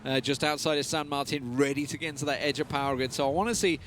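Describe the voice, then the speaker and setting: A man's voice: mid-range voice, steady tone, fast, a bit bright, slight background noise. A man casting a live esports match on a headset, chatty and analytical, and he laughs easily. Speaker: esports caster.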